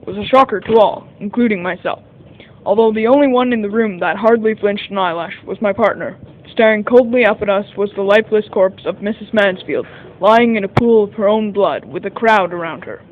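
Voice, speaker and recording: A man's voice: sits high, fairly quick, narrow, tinny audio, a little hiss or hum, lightly acted. A man playing a detective's sidekick who narrates a 1940 radio mystery in the first person. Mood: measured recounting.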